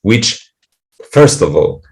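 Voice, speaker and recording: Guy doing a screen share tutorial, lowish gravelly voice, lots of uhs and restarts. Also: very animated, darkish tone. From Poland. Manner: halting, frequent fillers and restarts, non-native grammar. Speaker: accessibility testing presenter.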